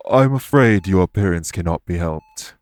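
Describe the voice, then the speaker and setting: A man voicing a calm, courteous winged elf in an audio roleplay, speaking formally and patiently to reassure the listener. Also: deep voice, normal speed, dry recording. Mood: measured, explanatory.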